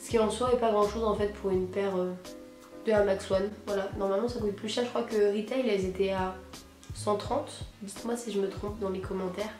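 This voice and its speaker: Hoarse young Frenchwoman chatting casually. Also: medium pitch, fairly even intonation, brisk, a little mellow. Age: teens to young adult, high school student. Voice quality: hoarse, husky. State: hoarse with a cold. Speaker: sneaker vlogger presenting purchases. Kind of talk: casual chat to camera, slangy. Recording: roomy echo, music behind.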